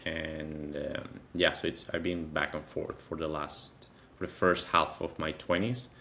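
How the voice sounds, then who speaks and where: A man's voice: very deep voice, steady tone, bright, forceful tone, a little hiss or hum. A man in his thirties with a Venezuelan accent, a native Spanish speaker, answering interview questions conversationally and often correcting himself as he goes. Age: 30s. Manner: halting, self-correcting, repeats phrases. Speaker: interview guest, content creator.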